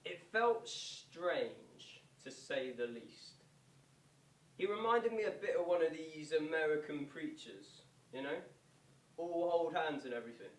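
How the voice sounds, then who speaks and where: A man's voice: a little high, animated, a little slow. A man playing a sceptical, plain-spoken local football supporter in a verbatim stage monologue. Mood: wry, dubious. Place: theatre performance space, distant microphone. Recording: echoey stage recording from far off.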